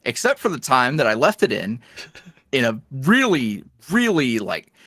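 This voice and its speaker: A man talks casually as a guest on a podcast. His pitch swings around in a lively, animated way, and his slangy delivery is jokey and self-deprecating. The sound is close and dry, with no room echo. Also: moderate pace. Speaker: podcast guest, audio roleplay creator. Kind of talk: casual chat interview.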